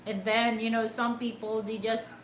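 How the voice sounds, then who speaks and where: A woman's voice: fairly high pitch, flat delivery, normal speed, echoey room, a little hiss or hum, poor audio. A woman from the Philippines with a Filipino accent, rambling in a casual vlog with many fillers and restarts. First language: Hiligaynon. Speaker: vlogger. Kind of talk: casual talk to camera.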